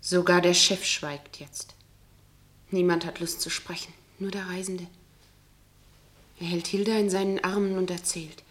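A wistful woman among cafe guests in a radio drama. She speaks yearningly in a lowish voice. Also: fairly even intonation, measured pace, pauses often, fairly bright tone. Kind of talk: German radio play acting.

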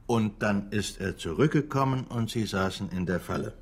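A male interrogator in a radio play. His voice is low and husky, and his questions come out dry, doubting and needling. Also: lively intonation, moderate pace. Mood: skeptical, needling. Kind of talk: naturalistic radio drama acting.

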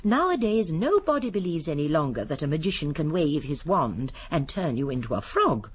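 A genteel old English lady who solves crimes in a radio play. Her voice is very husky and swoops expressively. She is prim and courteous, rambling a little, yet shrewdly sure of herself. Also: low voice, sing-song, moderate pace, full character voice. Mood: assured, explaining. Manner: prim, digressive, precise, gently assured. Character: shrewd, genteel elderly English lady amateur sleuth, politely modest. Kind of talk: BBC radio drama acting.

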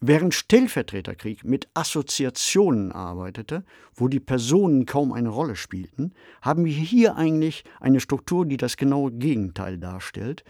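Measured, analytical man in a dry space. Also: mid-range voice, very animated, a little mellow, dry recording. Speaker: podcast commentator on radio plays. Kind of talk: explanatory literary commentary.

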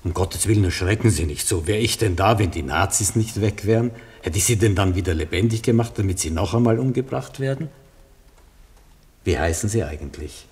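A man acting a calm, wry rabbi who works miracles in a German radio play, courteous and instructing. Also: on the low side, fairly quick, lightly acted. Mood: animated, reassuring.

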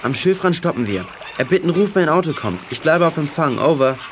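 A male actor playing a level-headed boy detective in a children's radio play, speaking in crisp radio procedure. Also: a little high, animated, a little fast, background noise, natural voice. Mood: businesslike.

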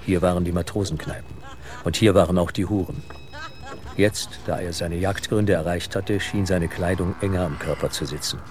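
A man narrating an audio drama in a measured, suspenseful, literary style. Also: low voice, steady tone, darkish tone.

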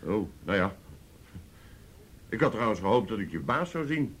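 An actor playing a cocky, teasing young soldier in a naturalistic radio drama. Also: male voice, on the low side, sing-song, moderate pace, fairly bright tone, slightly rough, exaggerated voice. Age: young adult.

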